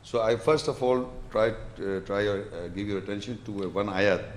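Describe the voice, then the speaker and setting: A man's voice: lowish voice, normal speed, slight background noise. A man lecturing and answering audience questions, explaining patiently and repeating words as he builds his sentences. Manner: recites scripture verses in Arabic. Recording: echoey lecture hall.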